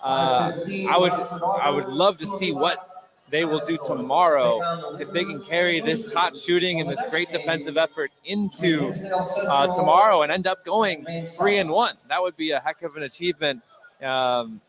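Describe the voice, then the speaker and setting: Higher-pitched male basketball color commentator. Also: normal speed, a crowd in the background, rough recording. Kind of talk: conversational live sports analysis. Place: indoor sports gym.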